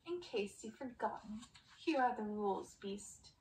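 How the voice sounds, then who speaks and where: A woman voicing cruel witch sisters from a storybook, mocking and deliberate in their taunts. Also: on the high side, expressive, a little mellow. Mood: menacing, taunting. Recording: roomy, distant, faint background noise.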